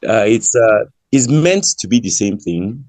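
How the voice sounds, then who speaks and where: A Nigerian man on a panel speaks in a low, mellow voice. He talks loosely and at ease, with plenty of fillers. The sound is close and dry. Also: big pitch swings, a little slow, no room sound. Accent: Nigerian English. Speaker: panelist, linguist. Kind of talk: panel discussion.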